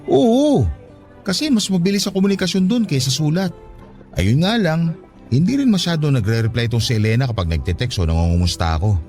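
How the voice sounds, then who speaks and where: A man's voice: a little high, big pitch swings, a little fast, a little mellow. A male actor with a Filipino accent playing an eager, trusting, affectionate older brother from the province in a radio drama. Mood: reassuring, hopeful. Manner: exclamatory, swooping delivery. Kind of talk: emotional radio drama acting. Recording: faint music under dialogue. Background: faint music.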